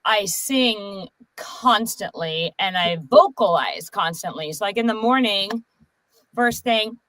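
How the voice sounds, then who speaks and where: A woman's voice: medium pitch, animated, a bit bright, no echo, low-quality recording. A young woman, a singer guest on a live streamed interview, talking in a bubbly, enthusiastic way. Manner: bubbly, enthusiastic, quick to laugh. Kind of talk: casual interview chat. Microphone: remote streaming call mic.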